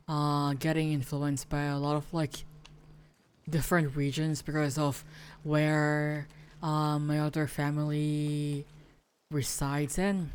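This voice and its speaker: A woman's voice: sits low, a little mellow, smooth. A Filipino woman whose first language is Tagalog, chatting casually while streaming a game, hesitant and full of fillers and restarts. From Philippines.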